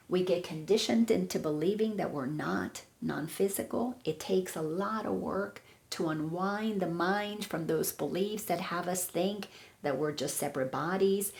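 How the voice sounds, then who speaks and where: Gravelly-voiced woman, spiritual coach. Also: lowish voice, darkish tone. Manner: earnest, reflective, with emphatic repetitions. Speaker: awareness coach. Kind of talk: spiritual teaching talk.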